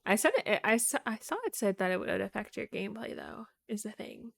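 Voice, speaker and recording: A woman's voice: medium pitch, a little fast, vocal fry, fairly bright tone, no echo. A woman livestreamer chatting casually to her audience in a playful, self-deprecating way. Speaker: livestreamer, VTuber. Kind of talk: casual gaming stream chat. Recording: music playing behind the voice. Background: background music.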